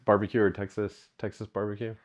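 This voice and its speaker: A man's voice: fairly low pitch, little pitch movement, quick pace, dull audio. A man hosting a podcast interview, asking friendly, open questions. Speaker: podcast host.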